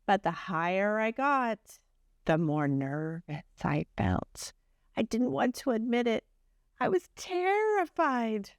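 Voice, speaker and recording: A woman's voice: on the high side, very animated, slow pace, dry recording, clean studio sound, exaggerated voice. A woman voicing a wistful, anxious but determined egg, telling its picture book story earnestly. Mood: nervous, determined. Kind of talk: first-person picture book narration.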